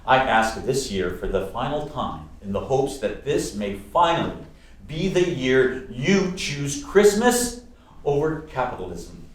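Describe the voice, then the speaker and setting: An actor playing a warm-hearted, exasperated nephew in a radio play, speaking in an earnest, wry, articulate way. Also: male voice, medium pitch, very animated, measured pace. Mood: earnest, hurt. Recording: echoey room, distant mic.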